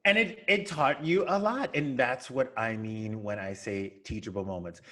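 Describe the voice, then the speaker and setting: A man's voice: mid-range voice, moderate pace, a bit bright. A man speaking as a podcast guest in casual chat, emphatic and repeating words for stress.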